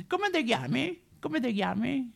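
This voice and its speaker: An actor playing a boozy, cheeky, lonely homeless tramp of fallen nobility, in a rambling, foul-mouthed, singsong stage monologue with a Roman accent. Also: male voice, very high-pitched, very animated, unhurried, gravelly, exaggerated voice. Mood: boastful, wistful. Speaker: stage actor in a solo show. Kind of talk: theatrical comic monologue addressed to listeners.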